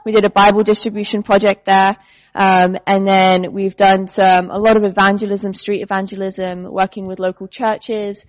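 A woman leading an outreach team, speaking casually to a church congregation with many pauses and fillers. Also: mid-range voice, no echo, low-quality recording. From United Kingdom. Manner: relaxed, hesitant with many fillers.

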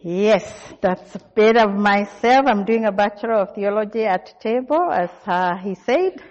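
A middle-aged woman from Kenya with a Kenyan accent, giving a guest sermon in a church and speaking in a measured way with frequent "uh" fillers. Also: medium pitch, darkish tone. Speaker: guest preacher. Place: church hall. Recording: dull recording with long hall reverb.

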